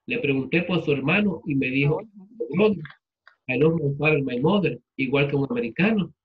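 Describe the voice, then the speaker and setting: A man's voice: on the high side, moderate pace, narrow, tinny audio. A man with a Puerto Rican accent teaching a literature class informally, explaining things in a conversational way with rhetorical check-ins. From Puerto Rico. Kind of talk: informal class lecture with personal anecdotes.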